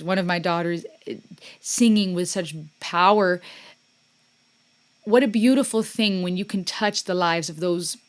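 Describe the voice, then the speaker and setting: Gushing woman, lowish voice. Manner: warm, gushing, searching for words with many ums. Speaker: music reaction host. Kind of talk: casual reaction commentary.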